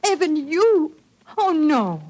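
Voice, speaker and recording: An actress playing a horrified, grief-stricken aristocratic widow with a breathy voice in an old-time radio drama. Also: female voice, high voice, big pitch swings, takes it slowly, a little mellow, heavily acted. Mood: shocked, anguished.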